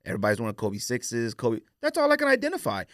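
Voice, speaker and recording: An American man in his forties talks like a podcast host deep in a casual debate. His pitch moves in lively swings and he drives points home by repeating them. The recording is close and dry. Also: fast talker, no room sound. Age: 40s. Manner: emphatic, repeats phrases for stress. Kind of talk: casual opinionated sneaker debate.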